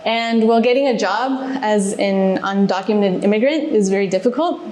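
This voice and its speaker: A woman's voice: medium pitch, darkish tone, slight background noise. Thoughtful woman in echoey room. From Mexico, raised in Alaska. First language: Spanish. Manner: thoughtful, pausing with fillers. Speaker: guest, reservations manager. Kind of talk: casual interview answers. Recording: echoey room, faint ambience.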